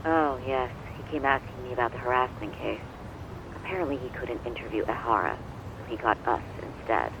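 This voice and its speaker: Polite, measured professional man voiced by actor. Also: medium pitch, noisy background, lightly acted. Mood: polite, thoughtful. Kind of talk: video game voice acting.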